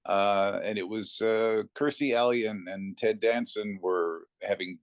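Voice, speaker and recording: A middle-aged or older man talks as a guest in a casual interview. His voice sits low, and the sound is very dry. He tells anecdotes about his work as a voice actor in a conversational style. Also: measured pace, dry recording.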